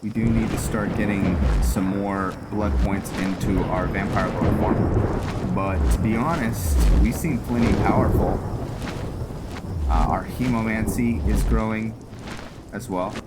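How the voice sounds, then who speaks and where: A man giving casual roleplay gameplay commentary, thinking aloud in a halting way as he hosts. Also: fairly low pitch. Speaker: gaming video host. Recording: music under the voice.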